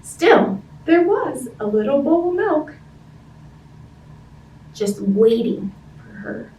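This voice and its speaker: A woman's voice: sits high, very animated, slow, dark tone. A woman hosting story time for young children, reading a picture book expressively and chatting in simple, child-directed language with questions to her listeners. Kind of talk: animated picture-book read-aloud and chat to young children. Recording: roomy, distant-sounding, faint background noise.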